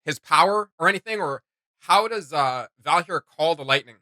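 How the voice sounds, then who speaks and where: Male reaction host, higher-pitched and very bright, excitable slangy delivery, close dry sound. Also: moderate pace, dry recording. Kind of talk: casual, enthusiastic reaction commentary.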